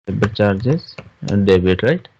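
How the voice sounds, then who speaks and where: A man teaching software step by step in an informal screen-share tutorial, halting and often checking understanding with questions. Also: on the low side, animated, noisy background. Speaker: SAP software trainer. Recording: dull, lo-fi online class audio.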